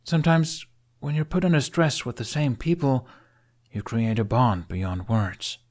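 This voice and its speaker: A man's voice: mid-range voice, a little slow, a little mellow, pitched above the performer's own voice, lightly acted. A man voicing an awkward, earnest young male Viking dragon rider in an audiobook, hesitant and easily flustered. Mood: hesitant, soft. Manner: hesitant, stammering, flustered when pressed. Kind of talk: audiobook character acting.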